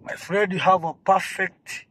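A man working as a safari guide, excitedly explaining a wildlife sighting to visitors outdoors. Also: sits high, unhurried. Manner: excited, explaining, repeats phrases. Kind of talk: casual commentary on a wildlife sighting.

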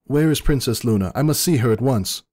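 A regal pony princess played by a male narrator speaks in urgent, distraught pleas. The voice sits far above a deep man's register, in a storybook reading with a dry, close sound. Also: expressive, a little fast, a little mellow, no echo, natural voice. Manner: urgent, pleading. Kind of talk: dramatic fiction reading.

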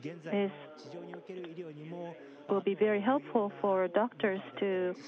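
Slow, hesitant woman interpreter on a phone line. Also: mid-range voice. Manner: hesitant, many uh fillers and restarts. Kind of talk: simultaneous interpretation. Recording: phone-like narrow-band feed.

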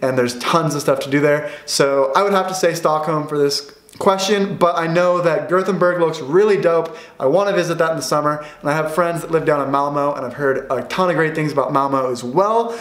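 A man's voice: fairly high pitch, fairly quick, fairly bright tone. A young American man hosting a casual vlog Q&A from a small room at home, upbeat and chatty, gesturing as he talks. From United States. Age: young adult. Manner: upbeat, chatty, gestures a lot with his hands. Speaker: vlogger. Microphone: camera in front, head and shoulders framing. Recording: roomy echo.

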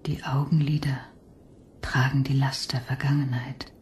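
A woman playing a weary, sick woman in a radio drama, reciting solemnly in drawn-out whispers. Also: very deep voice, flat delivery, a little mellow, a little hiss or hum. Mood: weary, bitter. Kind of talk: slow poetic German radio drama.

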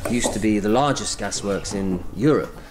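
An actor playing a devoted, earnest film director's assistant in a radio drama, reflective and halting. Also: male voice, on the low side, background noise, natural voice. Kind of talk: naturalistic radio drama monologue and dialogue.

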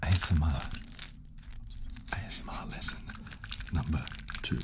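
A man in his thirties hosting casual ASMR, giving gentle, dryly playful instructions close to a studio microphone. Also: a bass voice, measured pace, very noisy. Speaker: host of an ASMR channel. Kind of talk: hushed ASMR, casual demonstration. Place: small furnished indoor room, seated at a table. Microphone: close studio condenser microphone on the table.

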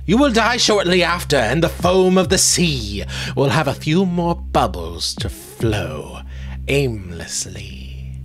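Storybook villain: male narrator as a cruel old hag sea witch, scornful, imperious, pitched up and loud. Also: sing-song, slow pace, faint music behind. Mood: cruel gloating. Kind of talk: dramatic storybook reading.

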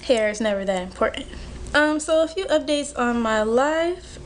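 A woman's voice: sits high, expressive, moderate pace, a little hiss or hum. A woman in her twenties chatting casually to her viewers while she does her makeup, full of "like" and "you know". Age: 20s. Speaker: beauty vlogger. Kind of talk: casual chit-chat while doing makeup. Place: bedroom at home. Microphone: built-in camera mic at close range.

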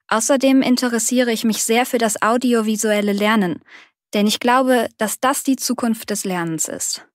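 A woman's voice: a little high, moderate pace, no echo, clean studio sound, natural voice. A woman reading the part of a friendly young job applicant who explains herself readily, in a scripted dialogue for learners. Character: young female applicant, a freelance writer.

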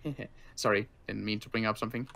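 A man voicing a wary but kindly armed guard in an improvised game roleplay, speaking casually and haltingly. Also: on the low side, fairly even intonation, creaky, a bit bright. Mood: casual, unsure. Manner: casual, halting, hesitant delivery.

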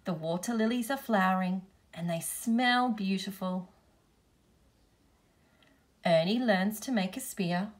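Slow-paced woman reading a picture book. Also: medium pitch. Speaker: storybook reader, teacher. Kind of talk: children's picture book read-aloud.

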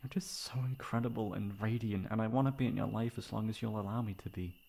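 A man playing a tender, flustered, gently teasing best friend in love, in an intimate, halting ASMR romance roleplay. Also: on the low side, fairly even intonation, vocal fry, slightly rough. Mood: tender admiration. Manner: soft, halting, affectionate.

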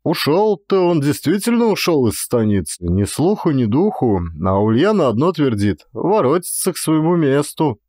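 A man's voice: fairly high pitch, big pitch swings, moderate pace, hardly any pauses, darkish tone, dry recording, clean studio sound, voice pushed up. A man voicing a plain-spoken village coachman in an audiobook, telling things in a folksy, rustic way. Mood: matter-of-fact, rueful.

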